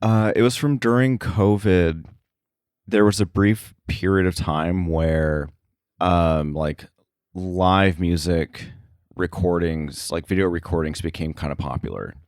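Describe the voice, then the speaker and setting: Deep-voiced man chatting, dry close sound. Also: fairly even intonation, a little slow, darkish tone, dry recording. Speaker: podcast guest, sound effects editor. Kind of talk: casual interview conversation.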